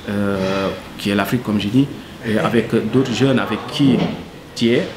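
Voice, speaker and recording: A man from Senegal, answering interview questions earnestly and hesitantly, full of fillers. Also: mid-range voice. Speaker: cook, interviewee.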